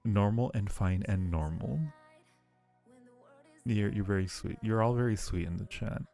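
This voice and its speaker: A man with a low voice and plenty of gravelly fry talks casually to his livestream viewers. His delivery turns halting when he explains things, and faint music plays behind him. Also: dark tone. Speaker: streamer and audio roleplay creator.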